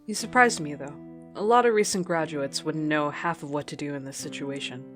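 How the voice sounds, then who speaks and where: Woman as low-voiced protective space captain. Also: a little fast, a bit bright, faint music behind. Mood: tender. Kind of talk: radio drama acting.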